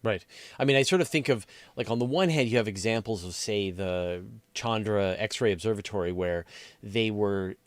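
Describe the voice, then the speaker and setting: A man with a low-pitched voice speaks as an interview host in a conversational style, building up to his questions. The sound is dry, with hardly any room around it. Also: moderate pace, dry recording.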